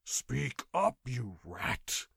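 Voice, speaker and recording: A man's voice: medium pitch, very animated, very slow, creaky, fairly bright tone, slightly rough, no room sound, studio quality, exaggerated voice. A man voicing a brutal, self-important male guardsman in an audiobook, growling and domineering. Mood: menacing. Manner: bellowing, domineering.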